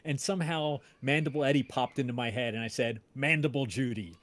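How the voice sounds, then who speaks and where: A man telling a story casually on a livestream, rambling and often interrupting himself. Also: mid-range voice. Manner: rambling, halting, self-interrupting. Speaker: podcast creator and voice-drama maker.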